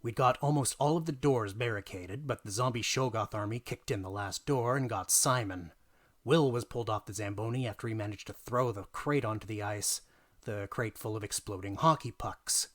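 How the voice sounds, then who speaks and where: Theatrical male storyteller. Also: on the low side. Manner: theatrical, emphatic, deliberate pauses for effect. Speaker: narrator. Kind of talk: dramatic reading of a tabletop gaming story.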